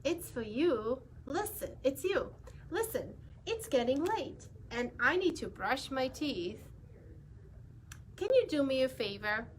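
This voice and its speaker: A woman's voice: high-pitched, very animated, slow pace, slight background noise, full character voice. A woman voicing a friendly male bus driver asking the listener for a favor.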